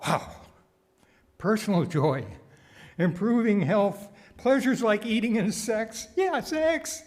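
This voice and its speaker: A man delivering a prepared sermon with wry asides, phrasing deliberately and repeating words for emphasis. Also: sits high, animated, a little mellow. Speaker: guest speaker giving a sermon, nonprofit founder.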